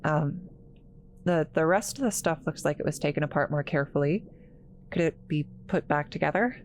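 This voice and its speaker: A woman playing an awkward, kind scientist in a roleplay audio drama, halting and apologetic, stammering as she talks to the listener. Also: low voice, slight background noise, natural voice. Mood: apologetic, flustered.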